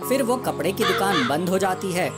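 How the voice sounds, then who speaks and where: A woman narrating a children's cartoon story in an expressive storytelling manner. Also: on the low side, normal speed, over loud music.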